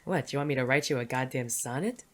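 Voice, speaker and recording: An actor playing a gruff, wry, smitten male private detective in a noir audio drama, with teasing, plain-spoken tough-guy phrasing. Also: mid-range voice, fast, a bit bright, natural voice. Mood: wry, bashful. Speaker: narrator and lead character. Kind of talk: noir audio drama, first-person narration and banter.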